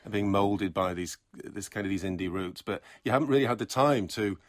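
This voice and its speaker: A man with a very low voice hosts a late-night radio show from a dry, close-miked studio. He talks chattily, steering his guest with leading questions and tag questions, and sums up her answers in a second language. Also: brisk, dry recording. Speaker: radio host. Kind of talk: radio interview, translating and summarizing in German. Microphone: studio microphone.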